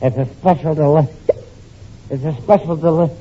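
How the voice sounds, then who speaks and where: An actor playing a cheeky, excitable young male telegram messenger in 1940s radio comedy, gleeful and repeating himself. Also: a little high, moderate pace, natural voice. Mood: eager. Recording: muffled, noisy 1940s radio recording.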